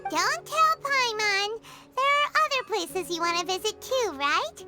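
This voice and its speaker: A woman's voice: extremely high pitch, lively intonation, measured pace. A woman voicing a small, cheerful, bubbly fairy-like girl companion in an anime game, with music behind her. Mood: playful teasing. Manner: speaks of self in third person, bubbly. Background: loud game music.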